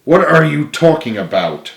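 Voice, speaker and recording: A man's voice: on the high side, big pitch swings, measured pace, gravelly, a bit bright, pitched above the performer's own voice, heavily acted. A man voicing a huge, strong, heartbroken and self-doubting man in a storybook, emotional and hopeless in manner. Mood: surprised. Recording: roomy, echoing room sound.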